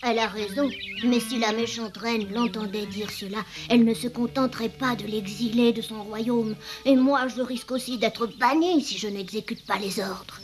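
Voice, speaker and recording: An actor playing an obedient, reluctant forest servant of an evil queen in a cartoon dub, speaking gravely. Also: very high-pitched, fairly even intonation, normal speed, hardly any pauses. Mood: worried.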